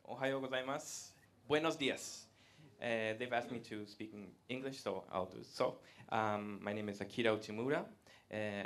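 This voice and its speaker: A man, giving a live presentation to an audience in a conversational way, pausing often to hesitate. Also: medium pitch. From Latin America. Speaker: guest speaker. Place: event room with a live audience.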